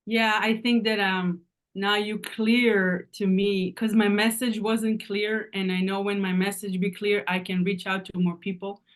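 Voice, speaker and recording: Halting woman with a Hebrew accent. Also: medium pitch, flat delivery. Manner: halting, searching for words. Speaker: participant on a coaching call. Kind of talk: casual question on a video call. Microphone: video call.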